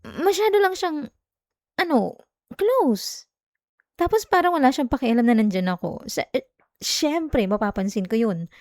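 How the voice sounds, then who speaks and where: Clingy, jealous girlfriend played by a woman, soft and mellow. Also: high voice, animated, a little slow, long pauses, no room sound, studio quality. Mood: defensive, jealous. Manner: coaxing, whiny, with sighs and hesitations. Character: clingy, jealous young girlfriend, pleading and pouting. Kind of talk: intimate ASMR girlfriend roleplay. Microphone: close, dry microphone.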